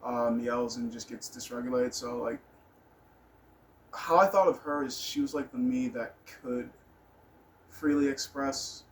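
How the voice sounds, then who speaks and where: A man's voice: medium pitch, level tone, unhurried, pauses often, poor audio. A man telling personal stories in a casual, rambling monologue, blunt and candid, with some swearing. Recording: noticeable background noise.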